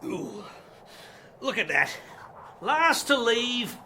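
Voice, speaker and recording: A man's voice: very high-pitched, very animated, drawn-out words, pushed and bright, a little hiss or hum, full character voice. An actor playing a boastful, boisterous drunk man back from abroad in a radio drama, slurring and swaggering. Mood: drunk, defiant.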